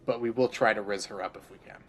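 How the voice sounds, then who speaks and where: A man's voice: fairly low pitch, steady tone, fast, soft background music. A man streaming a game, rambling crudely in casual commentary and laughing often.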